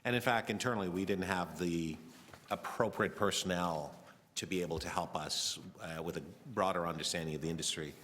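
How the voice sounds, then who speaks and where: A man with a very deep voice chairs a council committee, moving things along in a brisk, formal manner. His words ring out with the long reverb of a council chamber, over a faint hum of background noise. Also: a bit bright.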